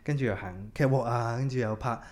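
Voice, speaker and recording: A man's voice: medium pitch, fairly quick. Easygoing male podcast host. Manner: easygoing, mixes English words into his talk. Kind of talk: casual podcast chat.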